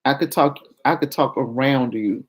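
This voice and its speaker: Frank woman with a deep, projecting voice. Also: moderate pace, slightly rough, poor audio. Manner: frank and confessional, addresses viewers as y'all. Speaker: vlogger. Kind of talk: candid casual talk to viewers.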